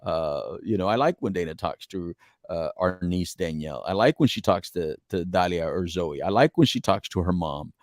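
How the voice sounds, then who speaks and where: A man's voice: sits low, expressive, moderate pace, a little mellow, dry recording, clean studio sound. A middle-aged man with an American accent talking casually and reflectively as a podcast co-host, earnest and rambling, repeating phrases for emphasis. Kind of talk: casual reflective relationship talk.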